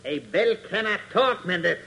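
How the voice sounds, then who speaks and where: A man's voice: high-pitched, sing-song, moderate pace, gravelly, sharp tone, full character voice. A man playing a ruthless, demanding villain in a 1940s radio serial, formal and melodramatic. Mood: agitated demanding. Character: agitated, ruthless, insolent male villain, scheming and demanding. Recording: hissy 1940s radio transcription.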